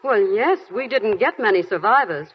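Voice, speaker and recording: A kindly senior nurse, coaxing and gentle, her pitch rising and falling a lot. It plays through a dull, muffled vintage radio broadcast. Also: lively intonation, moderate pace, breathy edge. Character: kind, motherly woman head nurse.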